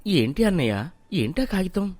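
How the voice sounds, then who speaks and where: A man playing an innocent, wronged younger brother, a humble villager with a soft, plaintive manner. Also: high-pitched, sing-song, normal speed, no echo. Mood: innocent, hurt.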